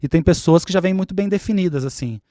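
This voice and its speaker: A man's voice: sits high, fast, mellow, no echo, studio quality. A man speaking as a guest on a podcast, explaining his spiritual practice patiently and repeating key points. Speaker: umbanda spiritual leader and guest.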